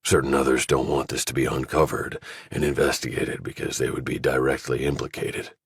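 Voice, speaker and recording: A man voicing a stern retired general who runs a covert office, speaking in a commanding, deliberate way as audiobook dialogue. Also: a bass voice, flat delivery, husky, no echo, clean studio sound, exaggerated voice. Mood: grave, businesslike.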